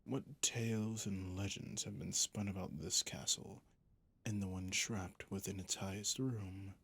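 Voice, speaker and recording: Man playing a slow, gravelly, sadistic vampire. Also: low-pitched, fairly even intonation, a bit bright, slight background noise, exaggerated voice. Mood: curious, teasing. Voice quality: breathy, gravelly. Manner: slow, theatrical, condescending, often dropping to a whisper. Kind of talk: ASMR roleplay audio drama.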